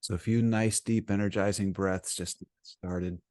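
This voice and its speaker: Male meditation guide: lower-pitched voice, soothing and gentle delivery, unhurried phrasing with plenty of pauses. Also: steady tone. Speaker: meditation teacher.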